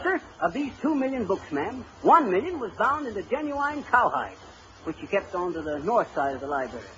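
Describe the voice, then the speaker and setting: A man's voice: high-pitched, fairly bright tone. An actor playing a folksy, blustering husband who mangles his grammar and spins tall tales in an old-time radio comedy. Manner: folksy, bungling, chuckling braggart. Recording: muffled, noisy vintage radio recording.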